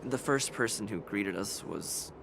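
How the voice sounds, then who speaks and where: A man's voice: lowish voice, animated, unhurried, vocal fry, noisy background. A man playing a wary, dry-humoured young hero in an anime-style game. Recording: game music behind.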